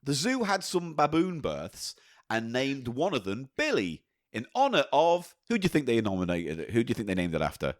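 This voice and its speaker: Playful British podcast host with lively pitch. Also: male voice. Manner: playful, quick to riff and tease; reads listener letters aloud. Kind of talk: casual comedy chat.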